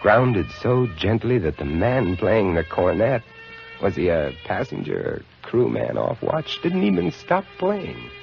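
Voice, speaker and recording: A haunted lighthouse keeper. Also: male voice, fairly low pitch, wildly swooping pitch, measured pace, vocal fry, heavily acted. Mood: haunted, hushed. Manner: hushed, dramatic storytelling with sudden swells. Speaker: lead actor narrating in first person. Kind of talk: 1950s radio drama narration. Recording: lo-fi old radio broadcast with music.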